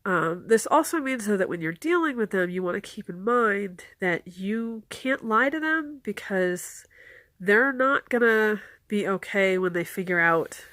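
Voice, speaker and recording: A woman with a stuffed-up nose from a cold, calmly explaining folklore and giving practical advice in a casual, earnest way. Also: mid-range voice, measured pace, dry recording, clean studio sound.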